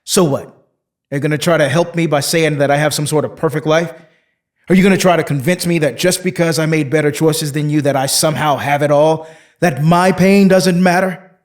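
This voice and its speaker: Radio play role, male actor: desperate man hiding guilt, slightly high pitch, guarded, defensive, halting delivery. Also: fairly even intonation, close to an everyday voice. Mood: angry, defensive. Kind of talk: audio drama acting.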